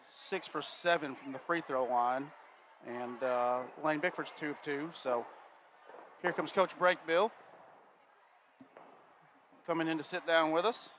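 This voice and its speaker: A man's voice: fairly high pitch, fairly bright tone, slight background noise. A man doing color commentary at a basketball game and interviewing the coach, easygoing with many fillers. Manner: easygoing, filler-heavy delivery. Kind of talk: casual sports commentary.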